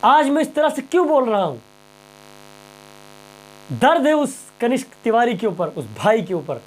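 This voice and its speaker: A man's voice: very high-pitched, animated, moderate pace, a little hiss or hum, rough recording. A man presenting impassioned news commentary straight to viewers, emphatic and rhetorical, repeating phrases and questions.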